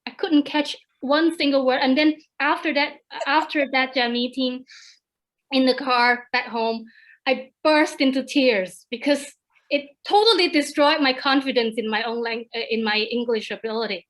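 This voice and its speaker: A woman in her thirties from China, speaking English as a second language, telling an animated story as a guest on an online alumni panel, with many repeated starts. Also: high-pitched, moderate pace, rough recording. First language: Chinese. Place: home, over a video call.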